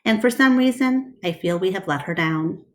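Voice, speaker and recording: A woman voicing an earnest, polite and gentle male gorilla in a storybook reading. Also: medium pitch, lively intonation, moderate pace, close to an everyday voice. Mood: gentle, reticent.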